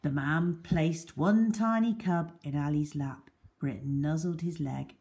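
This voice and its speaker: A woman reading a children's story aloud in a deliberate, dramatic way. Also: deep voice, mellow.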